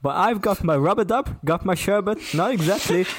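A man's voice: high voice, lively intonation, fairly quick, a little hiss or hum. A man voicing a chatty, cheerful London cab driver with a thick Cockney accent.

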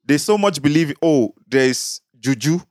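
A man's voice: on the high side, expressive, darkish tone, dry recording. Nigerian man arguing his point, dry sound. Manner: measured, explanatory, argues his point. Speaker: podcast co-host. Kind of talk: casual podcast discussion.

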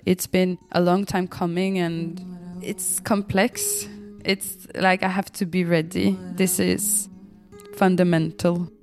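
Slow, low-voiced woman over music. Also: flat delivery, a little mellow. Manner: hesitant, thoughtful, pausing between short phrases. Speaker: musician telling her own story. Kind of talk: reflective personal narration. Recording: music behind the voice.

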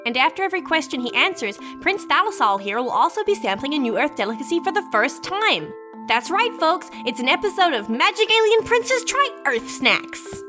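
A woman's voice: high-pitched, sing-song, normal speed. An actress playing an eager, cheeky young woman hosting a homemade video in an audio drama, breezy and full of casual slang. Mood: showy, excited. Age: young adult. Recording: music behind the voice.

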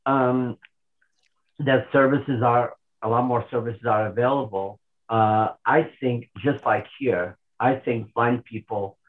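A man, calling in and talking thoughtfully with pauses and restarts. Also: on the low side. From Portugal. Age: 60s. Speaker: caller. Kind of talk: casual call-in chat. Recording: tinny phone line.

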